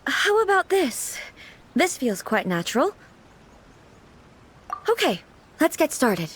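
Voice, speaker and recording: A woman voicing a composed, formal and naive immortal ruler in a video game, speaking in a measured, contemplative way. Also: high voice, sing-song, a little hiss or hum, heavily acted. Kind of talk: video game character dialogue. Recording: background music under dialogue.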